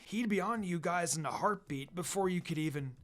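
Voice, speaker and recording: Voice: mid-range voice, fairly quick, fairly bright tone, natural voice. A reader as eager young boy ninja, Naruto. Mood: doubtful. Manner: brash, explaining eagerly.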